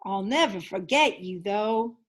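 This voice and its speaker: A woman's voice: mid-range voice, animated, a little slow, pitched below the performer's own voice. A woman voicing a huge, friendly male whale in a storybook, with a grand, hearty, emphatic delivery. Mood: fond, wistful.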